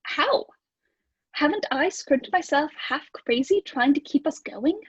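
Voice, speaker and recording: Woman acting a high-voiced, fretful London landlady. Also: expressive, measured pace, no echo, muffled recording. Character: anxious, fretful London landlady and wife, old radio mystery. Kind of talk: radio play acting.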